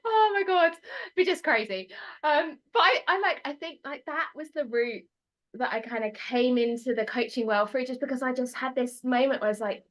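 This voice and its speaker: Bubbly British woman, rather high voice. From United Kingdom. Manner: bubbly, quick, with frequent fillers and laughs. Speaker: coach, podcast guest. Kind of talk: casual podcast interview.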